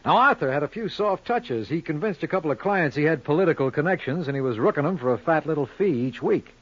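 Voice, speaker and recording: An actor playing a shrewd, easygoing criminal lawyer with wry, bantering delivery in an old-time radio crime drama. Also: male voice, a little high, animated, quick pace, dry recording, dull audio. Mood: probing.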